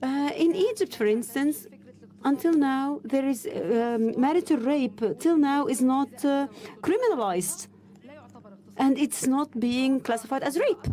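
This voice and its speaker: A woman interpreting a panel discussion live, in halting, stop-start phrases with frequent restarts. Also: high voice, lively intonation, warm tone. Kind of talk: simultaneous interpretation of a panel talk.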